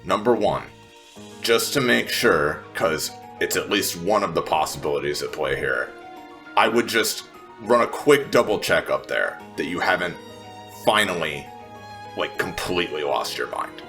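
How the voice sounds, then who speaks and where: Blunt, wary female adventurer played by a man: very low, bright-edged, halting, skeptical tabletop delivery. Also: animated, soft background music, exaggerated voice. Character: Vex, blunt, wary, wisecracking woman.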